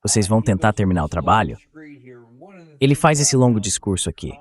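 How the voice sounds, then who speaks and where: A man's voice: on the low side, sing-song, fairly quick. A man voicing a translated dub of political talk, reading questions and commentary as the host.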